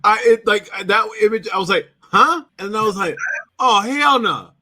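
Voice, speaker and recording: A man's voice: high-pitched, expressive, normal speed, pushed and bright. A man chatting casually as a co-host, playful and slangy in his way of talking. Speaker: show director and co-host. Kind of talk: casual chat.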